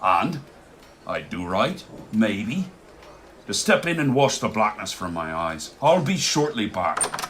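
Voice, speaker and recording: An actor playing a gruff, bossy Irish village blacksmith in a radio play, speaking in a scolding, emphatic way. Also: male voice, fairly low pitch, big pitch swings, slow, a bit bright, slight background noise, heavily acted. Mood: indignant. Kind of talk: radio play acting of rural Irish dialect.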